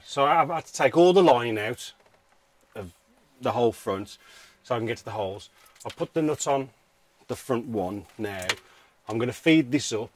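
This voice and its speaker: A man's voice: lowish voice, a little hiss or hum. A British man giving a casual hands-on how-to commentary, informally thinking aloud as he works. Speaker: DIY vehicle vlogger.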